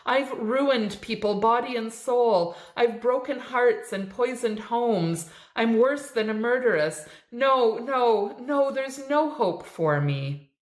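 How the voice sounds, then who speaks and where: A woman reading a story aloud, voicing a dying woman who pleads in a shrill, frantic voice. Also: unhurried, a bit bright. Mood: despairing. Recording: echoey room.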